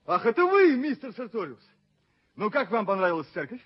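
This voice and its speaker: Animated, flustered young gentleman. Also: high-pitched, slightly rough, full character voice. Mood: nervous, earnest. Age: young adult. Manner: flustered, halting, stammering. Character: nervous, lovestruck young English gentleman doctor. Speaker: stage actor in radio play. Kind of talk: Soviet radio drama acting. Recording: dull vintage radio-play tape with music.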